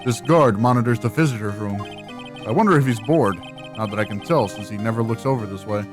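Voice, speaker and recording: Woman as young male defense attorney, lowered voice, measured, wry, protective, video game narration. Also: over music.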